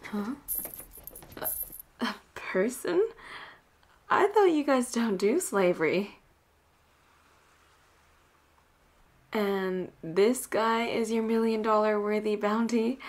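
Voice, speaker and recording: A woman acting a flirty, dominant and cocky female pirate captain in a roleplay audio drama, teasing and breathy, often dropping toward a whisper. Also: on the high side, slow pace, pauses often. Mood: teasing, curious. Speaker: voice actor.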